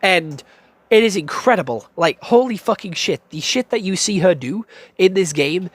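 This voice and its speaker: A young man with a British accent reviews a game in loose, excited bursts, full of swearing and stammered restarts. His voice sits a little high for a man. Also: normal speed, breathy edge, no echo. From United Kingdom. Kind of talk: casual profane game review.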